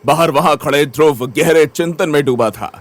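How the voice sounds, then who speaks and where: A man's voice: fairly high pitch, moderate pace. A man narrating a scripted Hindi audio story in a dramatic style.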